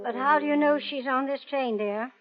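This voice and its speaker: Muffled vintage radio drama, actress playing an older mother, high female voice, mild, fussing, cautioning, dryly teasing. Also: normal speed, soft background music, lightly acted. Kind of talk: old-time radio drama acting. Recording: muffled lo-fi old radio recording.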